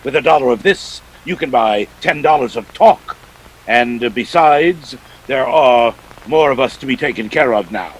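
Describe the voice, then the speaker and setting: An actor playing a jovial but menacing older crime boss in a radio drama, florid and genteel with a gravelly, husky voice. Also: male voice, medium pitch, expressive, normal speed, rough recording. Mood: jovial, smooth. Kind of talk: 1940s radio drama acting.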